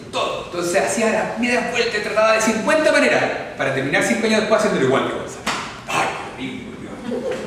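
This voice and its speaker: A man's voice: high voice, a bit bright, slight background noise. A man from Chile with a Chilean accent giving a live lecture, explaining step by step and often checking in with his audience. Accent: Chilean Spanish. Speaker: orthodontics lecturer. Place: lecture hall. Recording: echoey hall recorded from far off.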